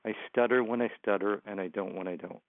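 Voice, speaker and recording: A man's voice: lowish voice, fairly even intonation, quick pace, no room sound. A man with a stutter, a motivational speaker answering interview questions, often repeating words and sounds. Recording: phone line, dry.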